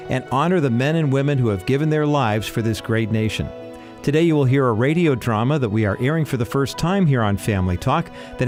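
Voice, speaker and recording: A man's voice: mid-range voice, a little mellow. American man hosting a radio broadcast. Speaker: radio host. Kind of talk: scripted broadcast introduction.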